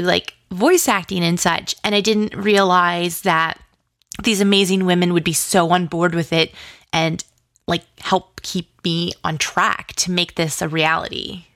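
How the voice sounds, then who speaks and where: A woman with a fairly low voice and a noticeable creak talks casually as an interview guest. Her phrasing is informal and hesitant, peppered with "like." The sound is dry and close, with very little room on it. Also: unhurried, fairly bright tone, dry recording. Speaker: guest, audio drama co-creator and writer.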